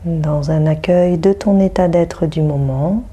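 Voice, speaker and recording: A woman leads a guided relaxation very slowly, with long silences between phrases. Her voice is on the low side and husky, with a soothing, hushed delivery. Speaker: yoga nidra guide. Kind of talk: guided meditation.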